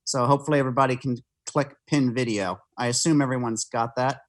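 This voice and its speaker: A man's voice: medium pitch, fairly even intonation, quick pace. A man presenting on a video call from home, casually explaining things to a group. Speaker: guest presenter. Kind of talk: casual explaining before a slideshow.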